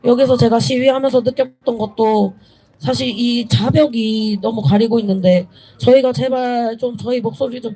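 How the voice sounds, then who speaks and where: Mellow-voiced young woman pleading at a rally. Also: on the high side, low-quality recording. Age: young adult. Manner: earnest, pleading, halting with self-corrections. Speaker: protester giving a speech. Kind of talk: impromptu political rally speech. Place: outdoors at a street protest.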